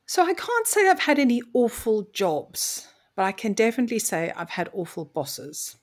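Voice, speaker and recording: A woman's voice: on the high side, huge pitch swings, normal speed. A woman from Mozambique, telling her story as an interview guest in an articulate, reflective way. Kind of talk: conversational interview.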